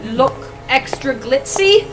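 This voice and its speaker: Woman gamer, highish voice, casual chat, faint music behind. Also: big pitch swings, takes it slowly, low-quality recording. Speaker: player in a tabletop role-playing game. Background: background music.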